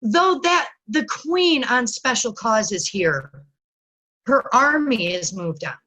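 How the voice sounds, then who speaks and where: A woman playing a courteous gentleman messenger in readers' theatre Shakespeare, with formal, declarative delivery. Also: a little high, expressive, slow pace, full character voice.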